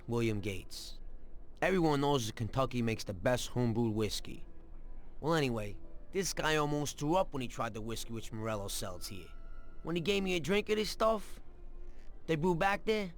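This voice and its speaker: Chatty, eager 1930s mobster. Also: male voice, medium pitch. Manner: colloquial, talkative. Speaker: gangster. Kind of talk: video game cutscene acting. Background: music.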